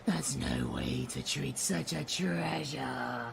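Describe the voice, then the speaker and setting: A woman's voice: extremely low pitch, slow pace, gravelly, crowd noise, full character voice. A woman voicing a sinister, gloating void being in an audio roleplay, cooing menacingly as if over prey. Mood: predatory cooing.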